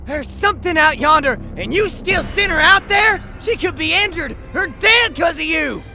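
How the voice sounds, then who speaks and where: A man's voice: extremely high pitch, animated, normal speed, vocal fry, sharp tone, soft background music, heavily acted. A man voicing a distraught, loyal farmer son pony in a dramatic comic dub, with a Southern US accent. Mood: desperate, angry.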